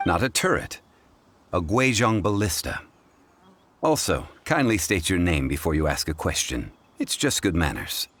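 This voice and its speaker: An actor playing a composed, courteous, scholarly gentleman in a fantasy video game, speaking in a formal, measured, erudite way. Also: low-pitched, sing-song, heavily acted. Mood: calm, scholarly. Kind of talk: video game character dialogue.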